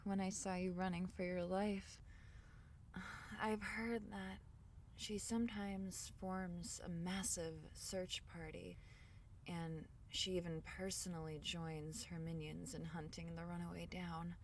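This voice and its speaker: A woman voicing a tiny, caring fairy in a soothing ASMR fantasy roleplay, speaking softly in slightly formal storybook phrases. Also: fairly low pitch, little pitch movement, slow, recorded from a distance, slight background noise. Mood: hushed concern. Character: tiny gentle female fairy, caring and protective.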